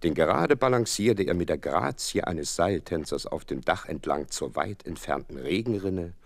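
An actor playing a loyal, earnest detective's companion who narrates a radio play, with a husky voice and expressive delivery. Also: male voice, deep voice, normal speed, no echo, exaggerated voice. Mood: vivid narrating.

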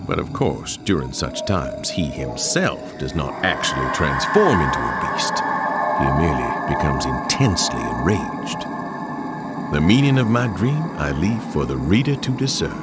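Very deep, ominous male chronicler. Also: sing-song, over loud music. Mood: brooding. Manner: ominous, measured, literary. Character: grave journal-writing man chronicling prison horrors. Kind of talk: dramatic scripted narration.

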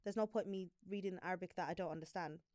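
A woman's voice: fairly low pitch, a little mellow, no echo, studio quality. A young woman, a podcast guest, talking in a casual, emphatic way and often checking that she is understood. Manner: rapid, emphatic talk, keeps asking "do you get what I mean". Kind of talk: casual chat. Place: podcast studio, indoors. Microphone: studio mic on a boom arm.

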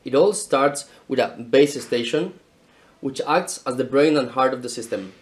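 A man's voice: medium pitch, unhurried. A man in his thirties from Spain presenting equipment in a careful, explanatory scripted style, filmed in an indoor showroom with a camera mic at medium distance. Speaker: product presenter.

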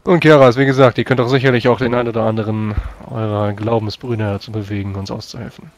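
Fast-talking man in tabletop roleplay. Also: fairly low pitch, fairly even intonation, dry recording. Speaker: tabletop role-player. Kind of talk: in-character tabletop roleplay in German.

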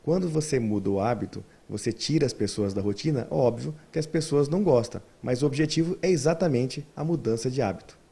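A middle-aged man answering a reporter in an office, with a gravelly, husky voice. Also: medium pitch, lively intonation, a little fast, hardly any pauses, dark tone. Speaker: interviewee. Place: indoor office. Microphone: handheld reporter mic.

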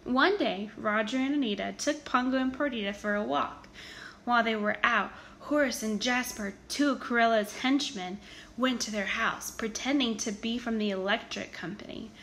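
A woman reads a children's storybook aloud with a high, bright voice. Her narration is expressive and emphatic. Noticeable background noise sits behind her. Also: moderate pace. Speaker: storybook reader.

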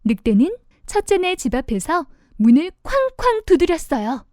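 A woman with a high-pitched voice tells a children's storybook. Her narration is expressive and animated, swinging widely in pitch, and pitched simply for young children. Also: normal speed, dry recording, studio quality.

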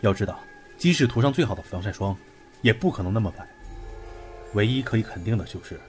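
A male actor plays a young man coolly picking apart a mystery in an audio drama. His voice sits low, with a methodical, commanding and brusque delivery. Faint music runs underneath. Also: lively intonation, fast, darkish tone, natural voice. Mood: grave, analytical. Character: brusque, analytical young man investigating a cursed book. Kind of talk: Chinese audio drama acting.

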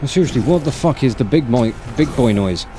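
A man's voice: medium pitch, lively intonation, fairly quick, dark tone, slight background noise. A man in his thirties giving casual, exasperated, sweary gameplay commentary as he streams, close on the mic indoors. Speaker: video game streamer. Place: indoor room, seated at gaming setup. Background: game music and sound effects.

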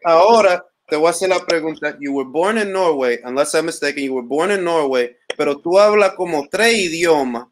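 A man's voice: on the high side, expressive, quick pace, few pauses, a little hiss or hum. A man in his late thirties hosting a casual interview over a video call, loose and chatty. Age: 30s. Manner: loose, chatty, says "bro". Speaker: interview host. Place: small room indoors. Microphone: laptop webcam on video call.

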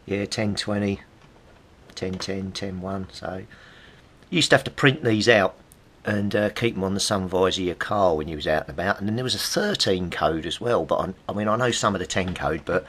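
A man's voice: low voice, fairly bright tone, breathy edge. A middle-aged British man giving casual, rambling, nostalgic commentary, chatty and musing aloud as he goes. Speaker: hobbyist presenter.

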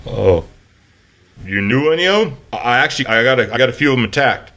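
An American actor playing a folksy, awkward small-town driver in an audio drama, halting and colloquial. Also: male voice, medium pitch, animated, pushed and bright.

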